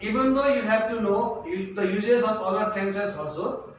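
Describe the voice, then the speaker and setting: A man's voice: high-pitched, moderate pace. A man giving an instructional English lesson as a tutor, in an emphatic, repetitive, question-and-answer manner. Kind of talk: instructional lecture. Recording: echoey room, distant mic, faint noise.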